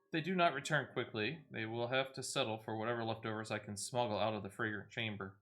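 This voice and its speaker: A man's voice: on the low side, quick pace, a bit bright, natural voice. A man reading game dialogue as a courteous, composed ally with formal, archaic phrasing. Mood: earnest, wry.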